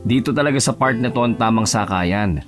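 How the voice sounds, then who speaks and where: A man's voice: on the low side, brisk, soft background music, lightly acted. A man playing a friendly, talkative young office worker in an audio romance drama, casual and reassuring. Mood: chatty. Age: young adult.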